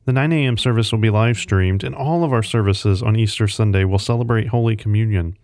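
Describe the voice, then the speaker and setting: A man's voice: on the low side, a little fast, a little mellow. A man hosting a devotional podcast, reading scripted announcements and introductions.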